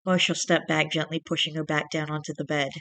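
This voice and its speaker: Young male channel host, raspy and dry-throated, bright and monotone, rambling chatter. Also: on the high side, a little fast, no room sound. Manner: rambling, self-correcting chat. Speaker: channel host reading fiction. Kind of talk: storybook reading, then casual vlog chat.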